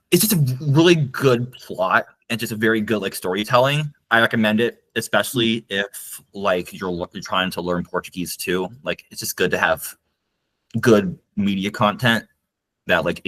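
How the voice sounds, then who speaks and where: A man with a somewhat low voice, chatting casually on a podcast. He talks hesitantly and leans heavily on fillers as he looks for words. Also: moderate pace, a bit bright, low-quality recording. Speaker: podcast co-host. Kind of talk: casual chat.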